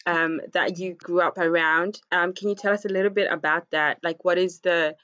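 A woman's voice: lowish voice, a bit bright, no room sound. Woman podcast host, dull dry recording. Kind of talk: podcast interview, scripted introduction then casual questions.

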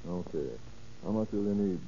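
A man's voice: on the low side, normal speed, warm tone, lightly acted. An actor playing a curt, commanding male federal narcotics agent who interrogates with authority in 1930s radio crime drama. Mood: firm. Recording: noisy, muffled old radio recording.

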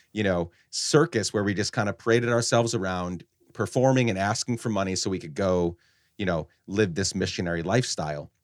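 A man from Guatemala hosts the conversation in a low voice, reflecting earnestly and often restarting his sentences. The recording is dry, with no room sound around him. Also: dry recording. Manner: earnest, halting, many restarts. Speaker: podcast host. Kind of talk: reflective interview talk.

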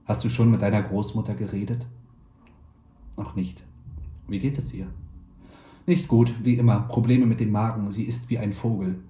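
Calm man reading a story in a roomy space. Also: on the low side, slight background noise. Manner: calm, measured storytelling with lightly voiced dialogue. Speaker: actor reading a short story. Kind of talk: literary reading.

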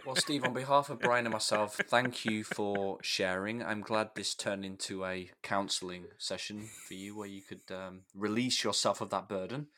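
Bright teasing male podcast host. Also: lowish voice, steady tone, normal speed, hardly any pauses. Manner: teasing, dry humor. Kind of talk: casual chat.

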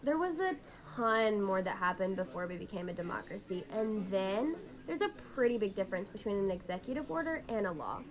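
A woman's voice: mid-range voice, expressive, a little fast, hardly any pauses, close to an everyday voice. Woman acting as young friend patiently explaining history. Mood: explanatory. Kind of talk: amateur scripted radio drama dialogue.

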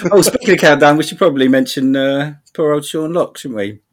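A man in casual comedy chat, making dry teasing jokes and laughing. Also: on the high side.

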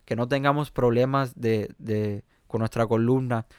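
Smooth-voiced Cuban pianist, halting and chatty. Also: male voice, medium pitch, steady tone, a little mellow, no echo, clean studio sound. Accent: Cuban Spanish. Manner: hesitant, many fillers and restarts. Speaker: jazz pianist guest. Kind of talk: conversational interview.

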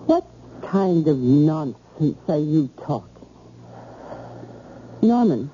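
A woman's voice: low-pitched, sing-song, slow pace, warm tone, full character voice. A woman playing a devoted, anxious wife in an old-time radio drama, coaxing and emotive. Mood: coaxing, reassuring. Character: devoted, soothing, anxious wife of a troubled man. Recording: dull, hissy old radio recording.

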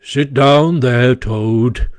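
A solemn, paternal badger from a storybook, acted out by a man. He makes firm, eloquent speeches slowly, in a raised pitch, stern and grave. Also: no room sound, studio quality. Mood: solemn, kindly.

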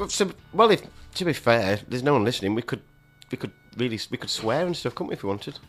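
A man's voice: mid-range voice, big pitch swings, fairly quick, faint music behind. A male radio co-host who is full of cold, chatting on air in a jokey, giggly, hesitant way. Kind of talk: casual on-air chat.

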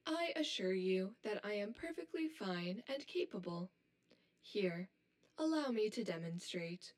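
A woman's voice: mid-range voice, lively intonation, unhurried, darkish tone, a little hiss or hum, close to an everyday voice. A woman acting as an anxious, hollow female robot in an audio drama, speaking in formal, stilted, literal phrases. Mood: stiffly reassuring.

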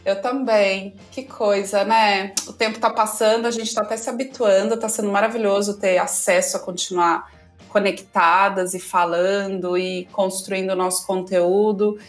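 A woman's voice: mid-range voice, fairly even intonation, normal speed, soft background music. Chatty woman podcast co-host. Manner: chatty, ends phrases with "né?". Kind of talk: casual podcast chat.

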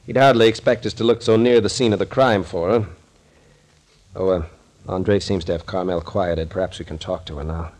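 An actor playing a gruff, shrewd captain who takes charge, dry and commanding, in an old radio thriller. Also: male voice, low-pitched, steady tone, normal speed, a little mellow. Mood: calm, probing.

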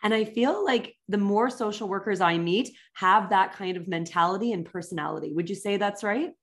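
A woman's voice: medium pitch, normal speed. A woman interviewer hosting a conversation in a casual, conversational style. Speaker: interviewer, host.

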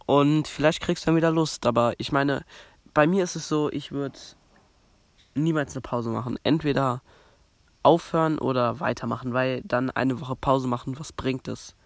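A young podcast host from Germany talks on his own in a loose, rambling way, full of fillers and restarts. He has a cold, and his voice sits at a middling pitch. The recording is dry and close, with no room sound. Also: no room sound. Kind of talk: casual monologue.

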